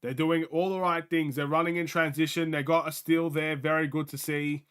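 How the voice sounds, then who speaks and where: A young man from Australia with a light Australian accent, hosting a live game watchalong in casual, excitable play-by-play chat, often laughing. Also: on the high side, normal speed, clear, resonant tone.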